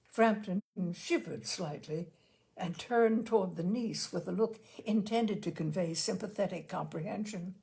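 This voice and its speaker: A woman narrating a literary short story with dramatic, deliberate phrasing. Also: medium pitch, creaky, mellow. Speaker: story reader. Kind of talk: expressive scripted literary narration.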